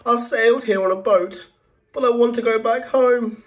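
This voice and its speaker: A man voicing a small, earnest, excitable lost toothbrush with a squeaky voice in a children's storybook reading. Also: moderate pace, recorded from a distance. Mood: pleading. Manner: excitable, pleading. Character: small eager yellow toothbrush, earnest lost boyish adventurer. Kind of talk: children's storybook read-aloud.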